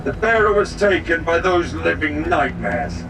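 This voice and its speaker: A man's voice: fairly high pitch, animated, unhurried, very noisy. An actor playing a grim, urgent space marine trooper in a video game, reporting tensely to his command. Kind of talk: dramatic video game acting. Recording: distant voice under very loud music.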